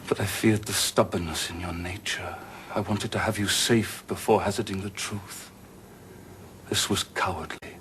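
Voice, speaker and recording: An actor playing a proud, volatile period gentleman who swings between hushed pleading and anguished outbursts, in a film drama. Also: steady tone, normal speed, slight background noise. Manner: shifts from low whispers to anguished shouts.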